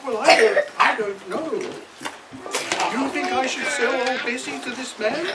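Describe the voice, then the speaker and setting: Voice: high voice, unhurried, over a noisy crowd. Performer doing eager little boy Jack, distant mic. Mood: hesitant. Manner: earnest, hesitant, simple. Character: eager, naive five-year-old boy Jack. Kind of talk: live children's puppet show. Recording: outdoor far camera, noisy background.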